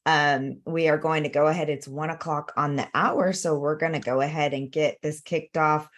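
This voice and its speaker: A woman's voice: low-pitched, steady tone, normal speed. A woman hosting a live webinar over a video call, chatty and upbeat, with frequent fillers and restarts. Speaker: webinar host.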